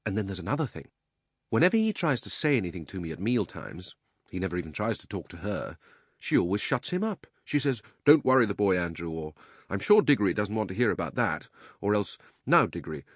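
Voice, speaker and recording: A man voicing a miserable young boy who talks loudly and gets wound up, in a husky voice. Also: fairly low pitch, big pitch swings, fairly quick, dry recording, studio quality. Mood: conspiratorial. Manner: loud, upset outbursts.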